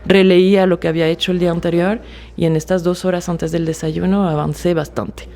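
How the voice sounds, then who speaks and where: A French woman in her forties, speaking Spanish as a second language, recalls her life as a writer in a halting, reflective way with frequent restarts. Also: lowish voice, flat delivery. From France. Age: 40s. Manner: soft-spoken, halting with fillers and restarts. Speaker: author interviewee. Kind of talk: reflective personal testimony.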